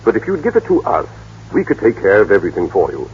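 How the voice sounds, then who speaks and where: An actor playing a scheming big-city lawyer with a hemming, patronizing manner in old-time radio drama. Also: male voice, lowish voice, wildly swooping pitch, fast. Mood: persuasive. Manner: hemming, patronizing, formal address. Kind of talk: 1930s-40s radio drama acting. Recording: dull, noisy old radio broadcast.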